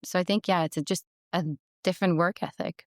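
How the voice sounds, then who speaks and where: A woman's voice: lowish voice, steady tone, a little mellow, no echo, clean studio sound. A young woman from Montreal, whose first language is Italian, talking in a chatty, upbeat way as an interview guest. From Montreal, Canada. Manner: chatty and upbeat, quick laughs. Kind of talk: casual interview conversation. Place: recorded in a workspace studio in London.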